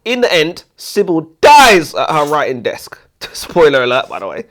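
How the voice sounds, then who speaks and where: Blunt British man with lively, swinging intonation. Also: fairly high pitch, normal speed. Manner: blunt, opinionated, jokey. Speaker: book review co-host. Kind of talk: casual banter and book review.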